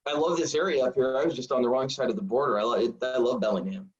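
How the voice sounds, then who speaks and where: A man in his forties, a high school football coach, telling stories in a rambling, self-deprecating way full of fillers. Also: steady tone, fast. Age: 40s. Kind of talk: casual storytelling interview. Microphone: video call. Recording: dull lo-fi video call audio.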